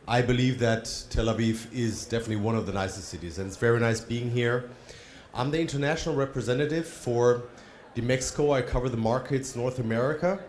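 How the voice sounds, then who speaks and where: A man who grew up in Germany speaks English with a light German-tinged accent, presenting to a conference crowd in an upbeat way and asking them questions. His voice sits at an ordinary middle pitch. The sound carries the echo of a large hall. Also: a little mellow. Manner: upbeat, addresses the audience with questions.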